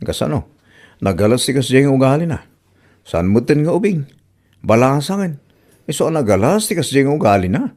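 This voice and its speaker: A man's voice: mid-range voice, very animated, normal speed, long pauses, a little mellow. A man with an Ilocano accent playing an exasperated in-law in a comedy drama. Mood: apologetic, explaining.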